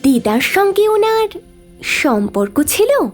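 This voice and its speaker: A woman's voice: very high voice, big pitch swings, slow, heavily acted. A woman playing a curious young city granddaughter, hesitant and questioning, in an audio story drama. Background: music.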